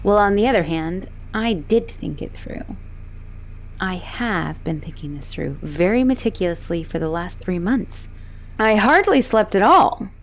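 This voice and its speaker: An obsessive, manipulative young female fugitive, acted out by a woman in an audio roleplay, with a medium-pitched voice that swings freely up and down. She is teasing and possessive, flipping between sweetness and exasperation. A faint vehicle-like hum runs behind her. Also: expressive, measured pace. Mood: smug, scheming. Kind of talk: dramatic roleplay audio monologue addressed to the listener.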